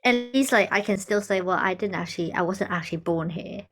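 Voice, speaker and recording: Animated young woman from Hong Kong. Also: mid-range voice, fairly quick, breathy edge. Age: young adult. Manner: animated, laughs often, acts out quoted remarks. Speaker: music therapist. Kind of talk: conversational discussion.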